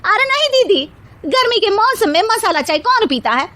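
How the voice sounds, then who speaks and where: A woman's voice: very high-pitched, very animated, moderate pace, bright, forceful tone, heavily acted. A woman voicing a touchy young daughter-in-law in a cartoon story, in a whiny, complaining and theatrical manner. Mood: argumentative. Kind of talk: cartoon story character acting.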